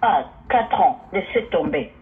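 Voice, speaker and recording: A woman's voice: on the low side, expressive, a little slow. A woman who grew up speaking Portuguese, calling into a talk show and speaking emphatically, repeating herself and exclaiming hallelujah. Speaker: caller. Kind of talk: opinionated phone-in talk. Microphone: phone line. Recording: tinny phone line, faint noise.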